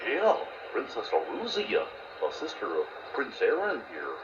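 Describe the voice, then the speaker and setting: A man's voice: medium pitch, wildly swooping pitch, unhurried. A man playing a pushy, plain-spoken adventuring sidekick who blusters in a children's audio story. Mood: nervous explaining. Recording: roomy, noisy, dull toy playback.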